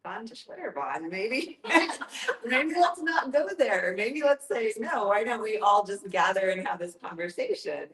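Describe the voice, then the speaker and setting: A woman's voice: mid-range voice, expressive, unhurried, fairly bright tone. A woman hosting an informal group discussion in a joking, self-aware manner. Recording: echoey room.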